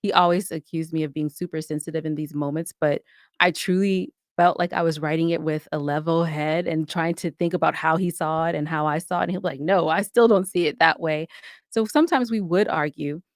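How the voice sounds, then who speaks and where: A woman's voice: sits low, fairly even intonation, brisk, dry recording. Articulate woman with a close, dry sound. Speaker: podcast guest, audio drama co-creator and writer. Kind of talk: conversational interview answers.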